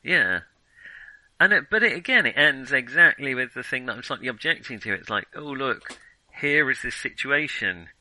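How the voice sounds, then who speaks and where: Male film podcast host, very bright sharp voice, dry close sound, opinionated and halting in casual discussion. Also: mid-range voice, expressive, no room sound, poor audio. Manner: hesitant, self-interrupting, opinionated. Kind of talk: casual film criticism chat.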